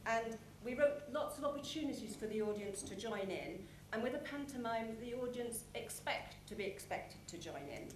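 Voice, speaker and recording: A woman giving a prepared conference presentation, explaining things in measured phrases with deliberate pauses. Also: on the high side, animated. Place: large conference hall with an audience. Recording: echoey conference hall, clear background noise.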